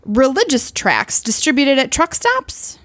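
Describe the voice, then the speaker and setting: A woman's voice: high-pitched, very animated, unhurried, a bit bright, no room sound, heavily acted. A woman playing a sardonic, impatient pulp writer in an audio play, with a dry, sarcastic manner.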